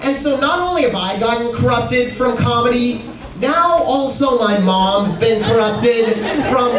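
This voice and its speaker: High-voiced teenage boy doing live stand-up. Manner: casual, slangy, exclamatory delivery. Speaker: stand-up comedian. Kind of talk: stand-up comedy, conversational crowd work. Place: indoor comedy club stage. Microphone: handheld stage microphone, picked up from afar. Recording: echoey live club, distant, noisy crowd. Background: loud audience noise.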